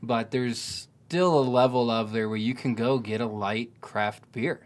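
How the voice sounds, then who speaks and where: A man's voice: mid-range voice, a little slow. A man in his thirties hosting a podcast, chatting casually in a laid-back way with lots of "dude". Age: 30s. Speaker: podcast host. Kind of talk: casual chat.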